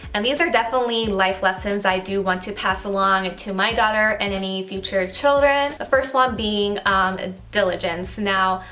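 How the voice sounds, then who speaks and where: Chatty young woman vlogger from Okinawa. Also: mid-range voice. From Okinawa, Japan. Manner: chatty, relaxed, filler-heavy with "like" and "um". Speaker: beauty vlogger. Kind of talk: casual talk to camera.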